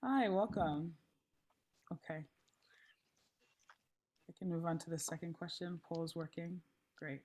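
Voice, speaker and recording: A woman facilitating an online meeting, a little hoarse, friendly and chatty with frequent ums, speaking through a laptop mic on a video call. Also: fairly low pitch, a little slow, long pauses, a little mellow. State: slightly hoarse. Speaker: session facilitator. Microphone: laptop mic, then headphones.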